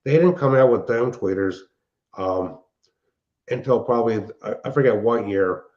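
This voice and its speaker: A man's voice: on the low side, moderate pace, darkish tone. An older man chatting casually and technically, explaining things in a rambling way as a show host and hobbyist. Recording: dull, band-limited sound.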